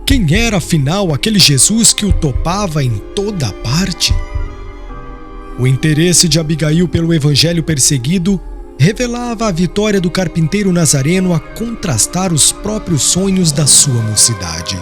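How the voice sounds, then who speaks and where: A man's voice: fairly high pitch, expressive, moderate pace, soft background music. A man narrating an audiobook in a solemn, literary, dramatic style.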